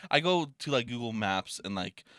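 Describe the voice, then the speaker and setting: Rambling young man, bright crisp voice. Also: mid-range voice, expressive, moderate pace, no room sound, studio quality. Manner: rambling, loose, swears a lot, many fillers and restarts. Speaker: podcast co-host. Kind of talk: casual chat.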